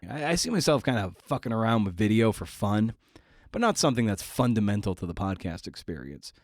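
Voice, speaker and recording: A man's voice: on the low side, very animated, a little fast, no echo. A man in his forties hosting a casual solo podcast, rambling in a wry, self-deprecating way into a close microphone. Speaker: podcast host.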